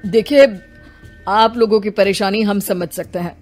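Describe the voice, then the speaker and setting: A woman's voice: mid-range voice, unhurried, darkish tone, lightly acted. A woman takes the part of a senior police officer in a cartoon tale. He sounds methodical and official, putting questions with authority over background music. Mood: official, firm. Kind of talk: Hindi animated moral story dialogue.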